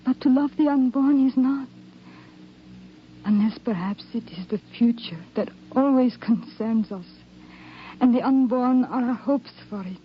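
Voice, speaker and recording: Refined young soldier's wife, wistful and composed played by a woman, fairly high voice, poetic old radio drama delivery. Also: a little slow, natural voice. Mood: tender, solemn. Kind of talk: 1950s radio drama acting. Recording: dull, noisy 1950s radio recording.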